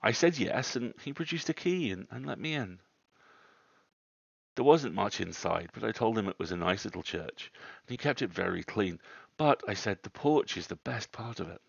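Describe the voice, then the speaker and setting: A man narrates a classic ghost story on a livestream. His voice is fairly low with plenty of fry in it. The sound is a little dull. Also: animated, moderate pace. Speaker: story reader, livestream host. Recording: roomy, dull livestream audio.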